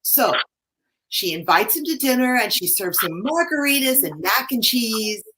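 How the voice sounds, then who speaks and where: Woman acting a gushing, high-voiced romantic. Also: animated, moderate pace, sharp tone, low-quality recording. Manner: gushing, dreamy. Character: sentimental, sweet, romantic-minded theater club woman. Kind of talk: community theater staged reading.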